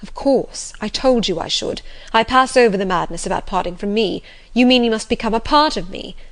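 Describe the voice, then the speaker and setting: A woman reader takes on a brooding master of the house in a classic novel. The gentleman speaks with passion and urgency. His manner is imperious and domineering. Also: mid-range voice, a little fast, natural voice. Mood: insistent, imperious. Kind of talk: audiobook character reading of classic novel.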